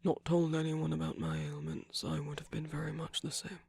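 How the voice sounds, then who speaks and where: Man voicing a breathy, creaky, tender villain. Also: fairly high pitch, completely flat delivery, dry recording, exaggerated voice. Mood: wistful, confiding. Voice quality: breathy, husky, slightly creaky. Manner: measured, halting, hushed delivery with pauses. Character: gentle, wry villain magic user comforting a loved one. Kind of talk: soft intimate ASMR roleplay.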